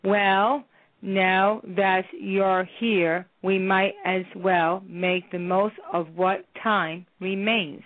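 A woman acting a stern female counselor and therapist in a radio play, speaking slowly and firmly. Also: mid-range voice, flat delivery, dry recording. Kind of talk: amateur radio play reading. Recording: tinny phone-line radio broadcast.

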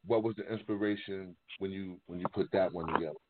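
A man's voice: low voice, steady tone, fairly quick, heavy background noise. A man hosting a music radio show in a casual, slangy way, repeating phrases for emphasis. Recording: tinny, phone-like narrow-band sound.

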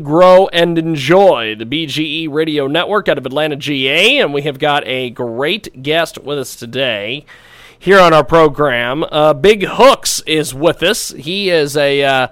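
A man's voice: a little high, a little slow, a bit bright, no room sound. A man hosting a radio interview show, often hesitant, stumbling and repeating words as he asks questions. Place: radio studio.

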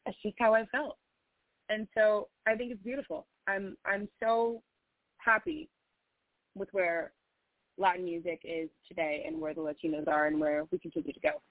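A woman's voice: lowish voice, fairly even intonation, moderate pace, dry recording. A female singer-songwriter chatting in an interview, earnest and heartfelt, with lots of "you know" fillers. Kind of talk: casual interview chat. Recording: tinny phone-like line, dry.

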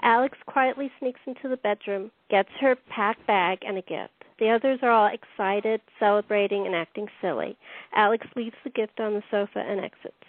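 A woman's voice: medium pitch, normal speed. A woman narrating stage directions as the host of a radio play series, reading from a script. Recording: echoey room, tinny phone-like sound.

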